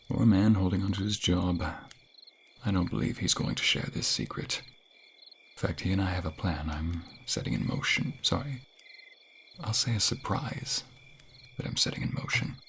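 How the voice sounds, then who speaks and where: Casting: male actor as tender fantasy king for ASMR romance, lowish gravelly voice, flirtatious secretive murmur. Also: slight background noise, heavily acted. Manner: tender, hushed, breathy murmuring, often whispering. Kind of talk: intimate ASMR romantic roleplay.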